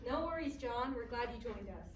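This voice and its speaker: A woman's voice: a little high, expressive, moderate pace, lightly acted. A woman playing a caring, reassuring adult relative in a staged reading, solicitous and plain-spoken. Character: caring, attentive adult family member, reassuring. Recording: echoey room, distant mic, background noise.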